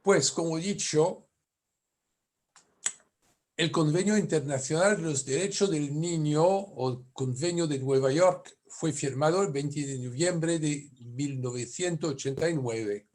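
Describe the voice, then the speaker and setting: A man from France with a French accent, whose first language is French, giving a conference lecture as a family lawyer in careful, halting speech with self-corrections. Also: fairly high pitch, a little mellow.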